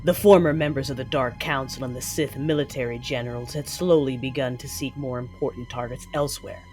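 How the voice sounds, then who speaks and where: An actress playing a cold, commanding Sith lord in an audio drama, speaking in measured, menacing tones. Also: female voice, very deep voice, fairly even intonation, a little mellow. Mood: cold resolve. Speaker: narrator and character. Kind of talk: dramatic first-person audio drama narration. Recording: music under the voice.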